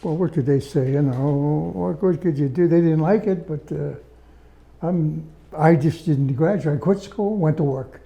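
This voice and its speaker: Older man with a very dark, mellow voice. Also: on the high side, a little slow, creaky, poor audio. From Pittsburgh area, USA. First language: Italian. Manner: plainspoken, homespun storyteller. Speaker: interview guest, veteran. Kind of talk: casual interview.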